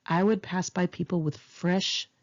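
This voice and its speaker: A woman's voice: lowish voice, fairly even intonation, unhurried, dull audio. A woman reading her own memoir aloud in a candid, informal, wry conversational style. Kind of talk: conversational memoir narration, read in one take.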